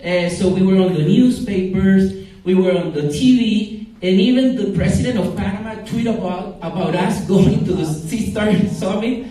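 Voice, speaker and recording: A man in his forties from Costa Rica with a Costa Rican Spanish accent, giving an informal, halting talk about his own story as a startup founder. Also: sits high, mellow. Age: 40s. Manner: halting, repeats words for emphasis. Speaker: startup founder speaking at a summit. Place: event room at a startup summit. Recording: very echoey room, far from the mic.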